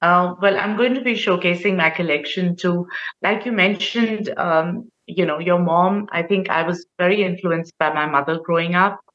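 Soft-spoken woman designer, dull audio. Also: lowish voice, moderate pace. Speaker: fashion designer guest. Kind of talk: casual interview answers.

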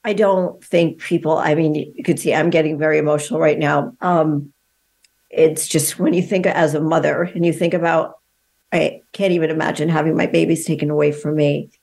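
A woman with a notably low voice hosts an interview. She reads an introduction and then asks earnest questions to clarify what her guest says. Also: steady tone, moderate pace, creaky, a little mellow. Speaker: podcast host. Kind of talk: interview host.

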